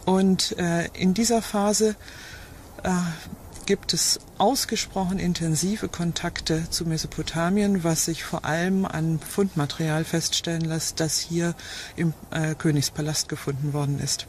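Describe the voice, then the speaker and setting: A middle-aged woman explaining as an archaeologist in an unscripted interview, halting as she searches for words. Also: on the low side, fairly even intonation, darkish tone, slight background noise.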